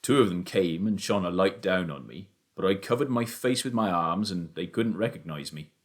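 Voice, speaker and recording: A man voicing a steady, affectionate father who is trapped and injured, in an audiobook story. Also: sits low, moderate pace, pitched below the performer's own voice. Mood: pained but steady.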